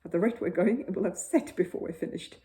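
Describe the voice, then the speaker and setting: A woman's voice: lowish voice, quick pace, mellow, lightly acted. A woman voicing a grumbling, long-suffering father who protests, in a children's bedtime story.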